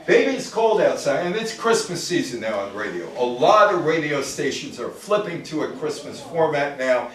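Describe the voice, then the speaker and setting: A man's voice: fairly high pitch, very animated, moderate pace, few pauses, fairly bright tone. An older American man giving an informal lecture on music history to a live audience. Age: older, grandfather. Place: indoor room before a live audience. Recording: very echoey room, distant microphone.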